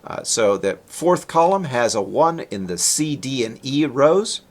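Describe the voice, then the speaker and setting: A man presents technical research in an explanatory, conversational lecture style. His intonation is lively and moves up and down a good deal. He talks as a researcher walking listeners through slides. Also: mid-range voice, measured pace. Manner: explanatory, conversational, with rhetorical questions.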